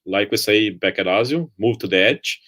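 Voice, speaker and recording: A man, ending his conference talk and answering questions in a relaxed, hesitant way with frequent "uh"s over a video call. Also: on the low side, normal speed. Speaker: conference speaker. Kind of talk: presentation and Q&A answers.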